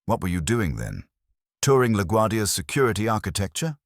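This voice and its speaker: A man voicing a commanding, coolly logical detective in an audiobook, formal, deliberate and pompous. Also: lowish voice, big pitch swings, no echo, clean studio sound, pitched above the performer's own voice. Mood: probing, dry.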